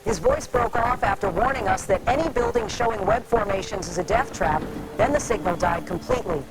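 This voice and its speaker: Woman doing urgent besieged lady reporter in apocalypse drama, gravelly edge, really noisy static signal. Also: mid-range voice, sing-song, brisk. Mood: grave, alarmed. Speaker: field reporter. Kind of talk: scripted apocalyptic radio drama. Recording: heavy static and noise over field transmission.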